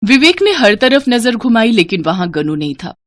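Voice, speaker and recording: Lively woman narrating a moral story. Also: on the high side, normal speed.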